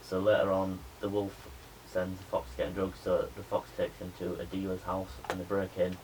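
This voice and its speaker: A young adult man, a media student giving a spoken pitch presentation to a class. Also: monotone, moderate pace. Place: indoor room with a small audience. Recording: noisy room recording.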